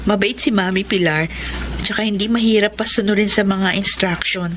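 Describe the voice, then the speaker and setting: An actress with a Filipino accent playing a devoted young nurse, earnest and respectful, in radio drama narration and dialogue. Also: female voice, mid-range voice, fairly even intonation, moderate pace, a bit bright, slight background noise, lightly acted. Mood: earnest, pleading. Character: devoted, lovestruck young nurse telling her story.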